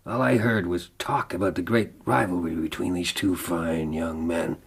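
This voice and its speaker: A desperate, weary frontiersman for radio drama. The voice is deep and full of gravel, badly husked. He speaks gravely and intensely, as one haunted by lost love. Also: fairly even intonation, normal speed, heavily acted. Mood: bleak, grieving. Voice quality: gravelly, husky. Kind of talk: radio drama acting and narration.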